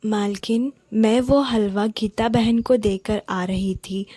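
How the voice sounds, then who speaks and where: Woman voicing a meek, humble cartoon maid, slow-spoken. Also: mid-range voice, fairly even intonation, no room sound. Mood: meek, apologetic. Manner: deferential, apologetic, soft-spoken. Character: humble, meek poor maid and sweet-maker, a mother. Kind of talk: Hindi children's cartoon story acting.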